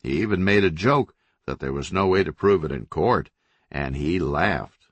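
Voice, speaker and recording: A blunt, commanding governor, as a male narrator voices him in his own low, dry voice. He talks with tight control and shifts into profane orders, with a lively rise and fall of pitch in an audiobook reading. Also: moderate pace, dry recording, highs cut off. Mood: cold, even. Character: hot-tempered male state governor, forceful.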